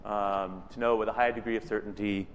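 A man with a loud voice presenting slides at a technical meeting, speaking casually with fillers. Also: lowish voice, steady tone, fairly quick, big-room sound. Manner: loud voice, conversational with many fillers. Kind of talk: presenting slides, then open floor discussion. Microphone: microphone in a meeting room.

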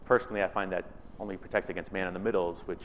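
A man with a loud voice presenting slides at a technical meeting, speaking casually with fillers. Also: low-pitched, steady tone, racing, in a large hall, slight background noise. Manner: loud voice, conversational with many fillers. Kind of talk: presenting slides, then open floor discussion. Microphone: microphone in a meeting room.

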